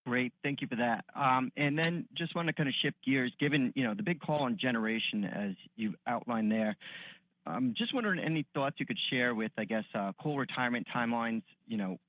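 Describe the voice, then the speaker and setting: A man's voice: mid-range voice, animated, a little fast, dry recording. A man asking polite, tentatively phrased questions as a financial analyst on an earnings call. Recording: dry, dull phone-line audio.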